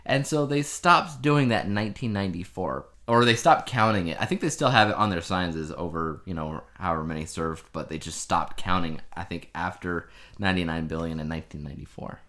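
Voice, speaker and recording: A man's voice: deep voice, fairly quick, few pauses, a bit bright. A man co-hosting a casual podcast, explaining things in a conversational way. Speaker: podcast co-host. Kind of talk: casual two-person podcast chat.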